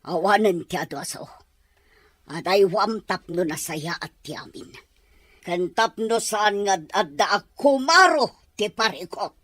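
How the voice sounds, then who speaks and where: A woman's voice: medium pitch, expressive, a little slow, a bit bright, no room sound. A Filipino woman playing a bossy, disapproving mother and lady of the house in an Ilocano radio drama, commanding and emphatic. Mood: stern, insistent.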